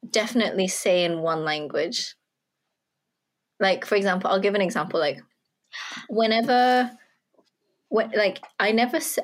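A woman in casual podcast chat, talking in halting bursts with frequent restarts. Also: mid-range voice.

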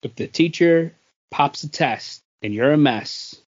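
A man's voice: fairly high pitch, measured pace, muffled recording. A man hosting a podcast quiz, reading out trivia questions and bantering casually.

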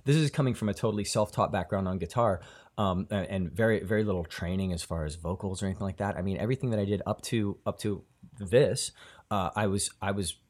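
A man's voice: low voice, darkish tone. A man in a band, talking in an interview, often stopping and repeating words as he gathers his thoughts. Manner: halting, many restarts and repeated words. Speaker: band member, guitarist and singer. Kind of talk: casual interview chat.

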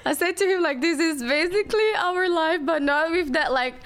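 A woman with a Brazilian accent, a native Portuguese speaker, chatting casually as a podcast guest in slightly halting English. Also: extremely high pitch, fairly bright tone. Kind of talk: casual chat.

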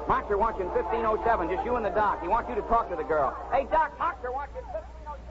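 An actor playing a brisk, eager policeman in a 1950s radio drama, clipped and informal. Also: very high voice, animated. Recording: muffled, very noisy 1950s radio broadcast.

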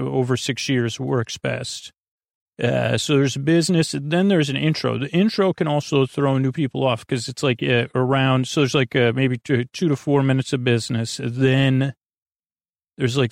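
A man hosting a bedtime podcast in a casual, rambling monologue, with a gravelly voice and a halting, meandering way of talking that circles back and drifts into tangents. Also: medium pitch, no room sound, studio quality. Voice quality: gravelly, creaky. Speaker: podcast host.

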